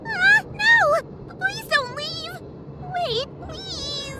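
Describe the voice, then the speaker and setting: Squeaky, timid crybaby girl. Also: expressive, very slow, background noise, exaggerated voice. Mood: pleading. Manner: whiny, pleading, hesitant. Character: timid, tearful young girl, cowardly crybaby judge. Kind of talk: video game voice acting. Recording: loud music behind the voice.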